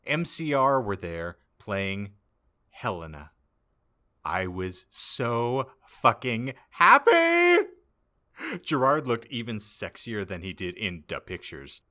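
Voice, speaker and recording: A man narrates a comic audiobook in a deep, bright-edged voice. His pitch swings widely as he reads, giving it a theatrical, mock-serious delivery. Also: wildly swooping pitch, slow. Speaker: podcast host reading aloud.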